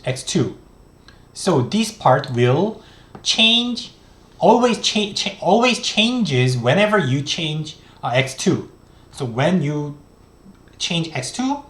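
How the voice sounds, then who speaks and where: A man in his thirties, a native Korean speaker with a Korean accent, lecturing on economics in a halting, step-by-step way with many restarts. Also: fairly high pitch, roomy sound. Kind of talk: recorded online lecture. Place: small room indoors.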